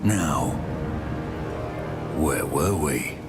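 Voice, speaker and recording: A menacing goblin villain from a fantasy game, with an extremely deep voice. He talks slowly, mocking and threatening whoever faces him. Loud music plays underneath. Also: expressive, exaggerated voice. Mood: mocking menace. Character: menacing, imperious male goblin rebel villain. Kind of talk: fantasy video game acting.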